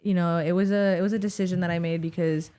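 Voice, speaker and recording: A woman's voice: lowish voice, steady tone, fast talker, dark tone. Chatty young Mexican American woman. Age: young adult. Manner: rambling, heavy use of "like". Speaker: podcast guest. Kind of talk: casual interview chat. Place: small studio set with wooden tables. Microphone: boom-mounted studio mic.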